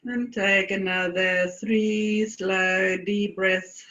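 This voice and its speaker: A woman from South Africa leading a guided meditation, speaking in deliberate phrases with long pauses. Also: mid-range voice, level tone, a bit bright, highs cut off.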